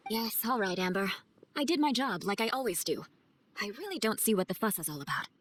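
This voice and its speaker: A woman's voice: medium pitch, sing-song, fairly quick, a bit bright, slight background noise. A woman voicing a proud, aloof young knight captain in a video game, speaking formally and matter-of-factly. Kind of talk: game cutscene voice acting.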